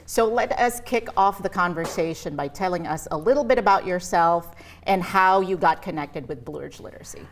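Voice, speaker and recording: A woman's voice: on the low side, moderate pace, slight background noise. A woman hosting an interview, giving scripted introductions and questions in a polished, encouraging manner. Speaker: interview host.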